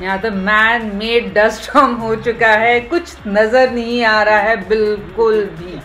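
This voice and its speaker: A woman's voice: a little high, steady tone, takes it slowly, a bit bright, soft background music. A woman vlogging from a car on a road trip, talking casually and mixing in English phrases. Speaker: travel vlogger. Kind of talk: road trip vlog commentary. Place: inside a car on a rough mountain road.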